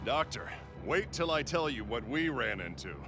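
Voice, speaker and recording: A man's voice: mid-range voice, very animated, moderate pace, music in the background, lightly acted. A man playing a thoughtful, good-natured adventurer in a game cutscene, wry and slightly formal. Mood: puzzled.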